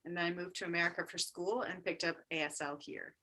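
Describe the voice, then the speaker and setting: A woman working as an interpreter, quietly relaying a guest's answer in an even way. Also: lowish voice, fast, rough recording.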